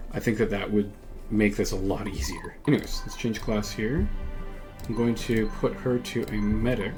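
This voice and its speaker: Low-voiced man doing game commentary, music behind. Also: darkish tone. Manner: thinks aloud, explanatory. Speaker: gaming video host. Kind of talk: casual let's-play strategy commentary.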